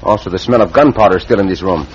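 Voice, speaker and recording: An actor playing a bold Mexican cowboy hero in an old radio western, with a Mexican accent and formal, commanding English. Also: male voice, low voice, fast talker, slightly rough. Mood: grave, decisive. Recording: noisy, muffled vintage radio recording.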